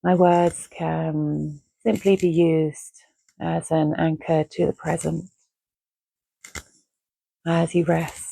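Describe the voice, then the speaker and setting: A woman with a lowish, soft-toned voice leads a guided relaxation. She is gentle and unhurried, pausing between short phrases. The sound is dry and close, with no room around it. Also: flat delivery, creaky, breathy edge, no room sound, poor audio. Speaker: meditation guide. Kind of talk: guided Yoga Nidra relaxation, soothing and measured.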